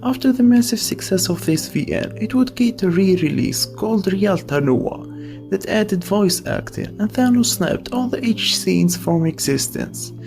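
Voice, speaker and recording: A man's voice: high-pitched, expressive, measured pace, vocal fry, music in the background. A man narrating a scripted video essay as its host, with casual asides.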